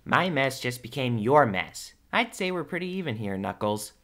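A man's voice: mid-range voice, very animated, moderate pace, a bit bright. A man playing a brash, teasing young cartoon hedgehog hero in a casual fan audio drama. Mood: bantering.

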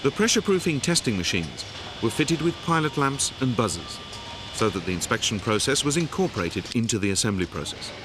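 A man giving scripted, measured narration for a factory training film, recorded as a studio voice-over. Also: mid-range voice, very animated, background noise. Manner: measured, explanatory. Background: background music.

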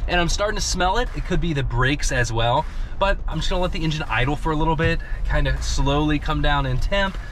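A man's voice: fairly high pitch, brisk. A man in his thirties vlogging while driving, chatting in a rambling way and getting tired and loopy. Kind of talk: casual driving vlog. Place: inside a moving car. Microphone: dash camera in the car. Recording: loud road noise in a car. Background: loud road and engine noise.

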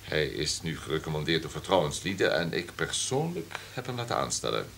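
An actor playing a domineering, calculating radio station boss in a Dutch radio drama, with a pompous, commanding manner. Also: male voice, extremely low pitch, big pitch swings, a little fast, fairly bright tone, a little hiss or hum, full character voice. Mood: lecturing, sure. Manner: pompous, commanding, throat-clearing.

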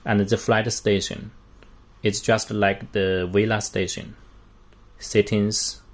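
A man walks through a product step by step in a fairly low voice. He talks slowly and haltingly, in short unscripted phrases with non-native English grammar. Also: level tone. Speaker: product demonstrator.

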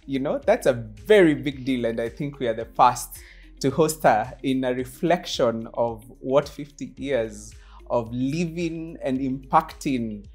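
Effusive talk show host. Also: female voice, very deep voice, a little slow, a little mellow, soft background music. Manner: effusive, repeats words when searching. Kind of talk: warm formal interview introduction. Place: studio lounge set, indoors.

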